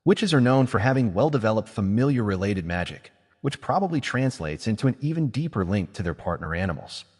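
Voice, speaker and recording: A man's voice: lowish voice, sing-song, fairly quick, hardly any pauses, darkish tone, in a large hall. A man voicing a guarded, blunt young male mage who speaks dryly and confrontationally. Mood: probing.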